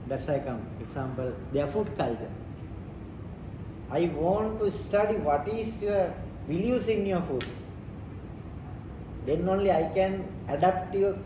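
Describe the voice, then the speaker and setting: A man with a Sri Lankan accent explains things step by step in simple non-native English, circling back over his phrases. His voice sits a little high for a man. It is picked up from some distance in a roomy space with clear background noise. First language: not English. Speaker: interviewee explaining leadership and culture. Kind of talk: informal explanatory teaching talk.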